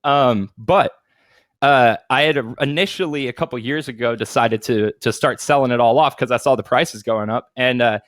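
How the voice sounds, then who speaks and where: A man's voice: mid-range voice, normal speed, a bit bright, no room sound, studio quality. A man in his thirties chatting casually as a podcast co-host, informal and swearing freely with lots of dude slang. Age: 30s. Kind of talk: casual chat.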